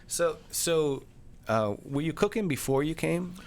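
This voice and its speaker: A man's voice: a little high, lively intonation, unhurried, vocal fry. A man hosting a podcast, asking friendly questions with many stammered restarts. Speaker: podcast host and chef. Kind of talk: casual interview.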